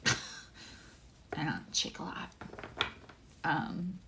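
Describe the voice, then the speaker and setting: A woman tarot reader and astrologer with a low voice, giving a livestream reading, chatty and emotional, addressing viewers by name. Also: animated, drawn-out words, gravelly, background noise.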